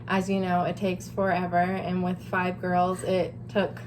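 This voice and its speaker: Even-toned young woman chatting over background noise. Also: on the low side, measured pace. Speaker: vlog host. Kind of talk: casual storytime chat with a partner.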